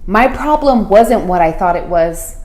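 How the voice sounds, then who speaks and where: A woman reading a picture book aloud to children as a school principal, expressive and deliberate, putting questions to her listeners. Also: mid-range voice. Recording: roomy, echoey room sound.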